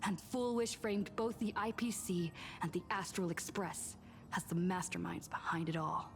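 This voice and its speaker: A woman playing a composed young game heroine, narrating past events in a measured, serious scripted style. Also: on the low side, steady tone, creaky. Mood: solemn, measured. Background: music.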